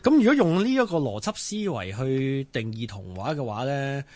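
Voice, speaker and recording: A male host with a Hong Kong Cantonese accent steers a casual talk and puts questions to his panel. His mid-pitched voice is recorded very dry. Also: sing-song, moderate pace, mellow, no echo. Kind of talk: casual moderating, posing questions.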